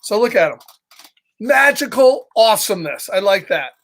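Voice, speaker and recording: A middle-aged man hosting a live children's story time, reading aloud with animated, playful asides and questions to his listeners. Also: sits high, moderate pace. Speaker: storybook read-aloud host. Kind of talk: live children's story time, chatting with viewers between lines of the book.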